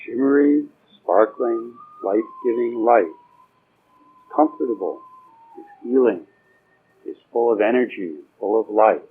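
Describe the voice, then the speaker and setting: A man leading a guided meditation, giving slow spoken instructions in a soothing, permissive and informal way, with pauses. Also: lowish voice, a little hiss or hum. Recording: dull, muffled lo-fi recording with music.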